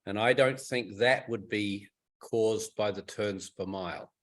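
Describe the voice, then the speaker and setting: A man's voice: on the low side, a little slow, fairly bright tone. A man giving informal technical advice on a group conference call, explaining things in a methodical, instructive way. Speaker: club technical expert advising a caller. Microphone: telephone or conference call line.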